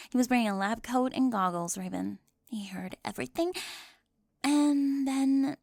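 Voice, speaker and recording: A woman's voice: a little high, no echo, clean studio sound. A woman playing a prim, hyper-organized popular teen girl in an ASMR roleplay, fussy, officious and over-precise. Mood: flustered, officious. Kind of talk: scripted ASMR audio roleplay.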